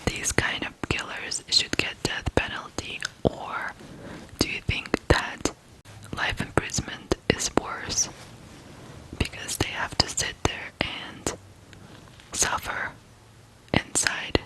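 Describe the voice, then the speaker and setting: An ASMR host from Ukraine telling true crime stories in a breathy whisper, in deliberate phrases with pauses. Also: low-quality recording.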